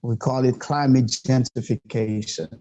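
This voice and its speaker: A man from Montreal whose first language is French, a podcast guest talking in a halting, passionate way with many fillers. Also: medium pitch, steady tone, mellow. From Montreal, Canada. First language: Quebec French. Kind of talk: casual interview talk.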